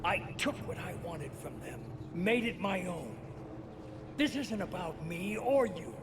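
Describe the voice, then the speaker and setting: A man's voice: high-pitched, lively intonation, a little slow, noisy background. A man acting a middle-aged, zealous leader obsessed with control, grandiose and insistent, in a dramatic video game performance.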